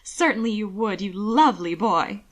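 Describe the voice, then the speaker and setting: A woman's voice: mid-range voice, lively intonation, moderate pace, close to an everyday voice. A woman voicing an effusive, self-important older socialite in a story, simpering with a singsong rise and fall. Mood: flirtatious. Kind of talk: audiobook character acting.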